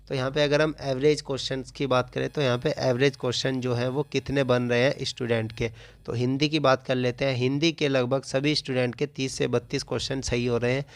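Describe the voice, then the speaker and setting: A man's voice: medium pitch, no echo. A man explains like a coaching teacher in an informal analysis, often restating his phrases.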